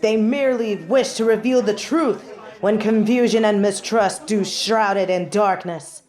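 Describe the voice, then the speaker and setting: A woman's voice: mid-range voice, darkish tone, close to an everyday voice. A woman playing a haughty, commanding angel judge in a scripted audio roleplay, speaking in a pompous, formal, theatrical manner. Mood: calling, assured.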